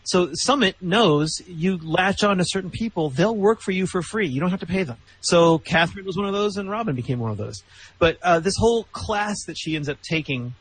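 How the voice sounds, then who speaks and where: A man's voice: high-pitched, fairly quick, a little hiss or hum, poor audio. A man with a sardonic, skeptical manner, commenting in a casual critical discussion as a podcast co-host.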